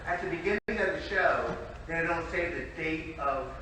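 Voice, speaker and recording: A man asking deliberate, probing questions as an audience member at a talk. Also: fairly high pitch. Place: echoey meeting room, off the microphone. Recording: very echoey, distant, noisy room.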